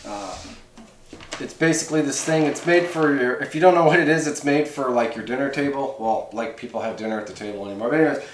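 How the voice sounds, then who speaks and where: A man's voice: medium pitch, quick pace. Folksy man in an echoey, noisy room. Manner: folksy, rambling, conversational. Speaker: host of a radio hobby how-to channel. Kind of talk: casual hands-on demonstration talk. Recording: roomy echo, clear background noise, clicks.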